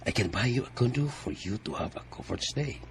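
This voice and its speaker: An actor playing a smooth, pushy, wealthy married man courting someone in a radio drama, coaxing in a Filipino accent. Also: medium pitch, expressive, moderate pace, a little hiss or hum, close to an everyday voice. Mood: earnest, pleading. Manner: coaxing, switches between English and Tagalog.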